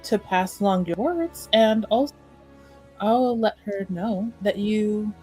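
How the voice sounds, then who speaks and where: Woman playing a gracious, diplomatic noble hostess, mid-pitched. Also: darkish tone, soft background music, close to an everyday voice. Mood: tactful. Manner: courteous, measured, tactful.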